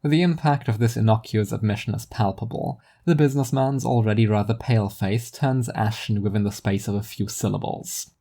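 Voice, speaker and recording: Fiction narrator, first person, wry and literary, relaxed dark timbre, mid pitch. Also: a little fast, few pauses. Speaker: narrator and storyteller.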